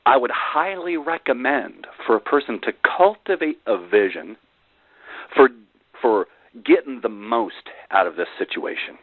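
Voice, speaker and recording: A man giving an emphatic motivational sales pitch, repeating phrases for effect and tossing in folksy rhetorical questions. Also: expressive, unhurried, dry recording. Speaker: network marketing presenter on a company conference call. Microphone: telephone. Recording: tinny, phone-like conference call line.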